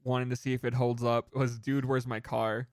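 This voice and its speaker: A man's voice: mid-range voice, level tone, moderate pace, a little mellow, smooth. A man co-hosting a podcast, chatting casually about movies, joking and swearing freely. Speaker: podcast co-host.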